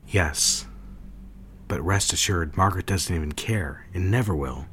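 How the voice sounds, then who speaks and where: An actor playing a smitten, secretive well-to-do gentleman in a romantic period audio drama, talking in an intimate, coaxing manner. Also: low-pitched, a little slow, a little hiss or hum. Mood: tender, coaxing.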